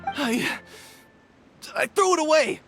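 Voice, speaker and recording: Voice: high-pitched, expressive, slow, gravelly, music in the background, heavily acted. An actor playing a cowardly petty thief in a video game, whining and pleading in a panicky, melodramatic way. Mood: defensive.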